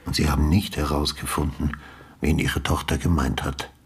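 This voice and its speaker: Casting: older ex-detective, extremely low, very husky voice, patient, brooding, quietly probing radio-drama delivery. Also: male voice, level tone, full character voice. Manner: measured, sober questioning. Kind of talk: understated German radio drama acting.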